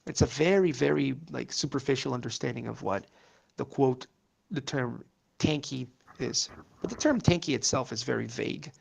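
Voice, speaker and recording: A man's voice: medium pitch, normal speed, vocal fry, husky. A man whose first language is Portuguese, rambling through casual political chat with many fillers and curses.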